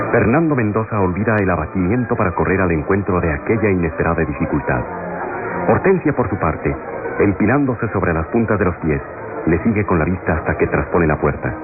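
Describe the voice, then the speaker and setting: A man's voice: deep voice, lively intonation, brisk. A man narrating a scripted radio soap opera in a dramatic, old-fashioned style. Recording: muffled lo-fi old radio recording. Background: loud dramatic music.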